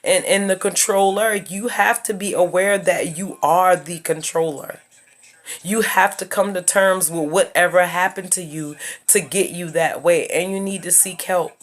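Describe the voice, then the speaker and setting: A woman's voice: fairly low pitch, fairly even intonation, a little slow. A woman in her thirties talking straight to her viewers in a casual advice video, emphatic and preachy, repeating her points. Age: 30s. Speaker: YouTuber giving life advice.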